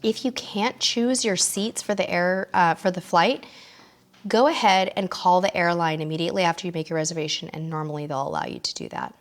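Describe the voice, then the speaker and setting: A middle-aged woman hosting a casual live stream, chatty with her viewers, her voice hoarse and worn from losing it, often clearing her throat. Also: fairly low pitch, lively intonation, fairly quick. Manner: chatty, warm toward viewers, reads out comments. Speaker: cruise show host.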